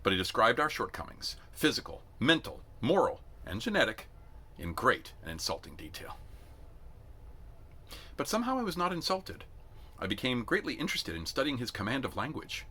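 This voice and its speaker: A man reading a novel aloud as an amateur narrator, in an expressive storytelling style. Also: medium pitch, moderate pace, breathy edge. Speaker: amateur reader.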